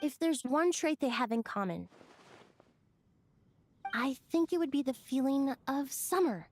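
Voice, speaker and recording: High, lilting young perfumer. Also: female voice, expressive, measured pace, a little hiss or hum. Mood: thoughtful. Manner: polite, enthusiastic, thoughtful. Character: friendly young woman perfumer minding a flower shop. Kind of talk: video game character voice acting.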